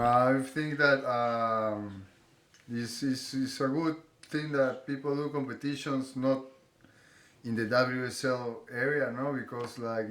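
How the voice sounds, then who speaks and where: Slow-talking Peruvian surfer man. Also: medium pitch, a bit bright, reverberant. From Peru. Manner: slow, adds a questioning "no?" to his sentences. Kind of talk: contest interview.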